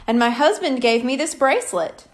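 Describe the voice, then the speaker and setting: Woman acting reluctant, good-natured mom, high voice. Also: lively intonation, normal speed. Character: reluctant, good-natured mother of twin boys.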